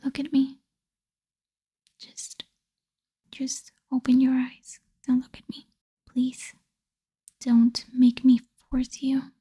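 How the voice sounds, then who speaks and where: Woman acting a whispering, breathy lovesick vampire girl. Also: high voice, completely flat delivery, warm tone, no room sound, clean studio sound, exaggerated voice. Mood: tender, possessive. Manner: slow, pleading, intimate delivery. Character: possessive lovesick female vampire, tender yet menacing. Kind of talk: ASMR roleplay, intimate whispering.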